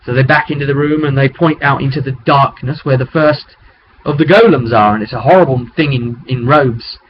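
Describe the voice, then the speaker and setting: A man with a medium-pitched voice recounts a tabletop game as its game master. He talks in a loose, rambling, conversational way with a storyteller's relish. The webcam recording is dull, with noticeable noise behind him. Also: a little fast. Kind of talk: casual rambling session recap to camera. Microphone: webcam microphone. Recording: dull webcam audio with clear background noise.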